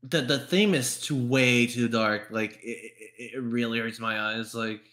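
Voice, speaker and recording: A man chatting casually as a podcast panelist, excitable and stammering, often repeating his words. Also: mid-range voice, a little slow, a crowd in the background. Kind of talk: casual group chat.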